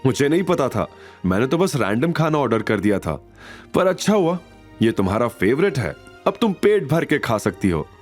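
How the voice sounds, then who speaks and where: A man playing a protective young man in love in an audio story, speaking gently and earnestly to reassure. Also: medium pitch, sing-song, normal speed, faint music behind, natural voice. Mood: caring.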